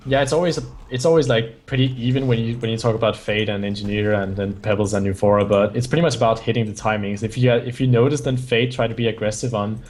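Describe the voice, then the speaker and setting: A man's voice: fairly low pitch, steady tone, fast talker, hardly any pauses, a little mellow. A man, not a native English speaker, giving strategy analysis as color commentator, often restarting his phrases.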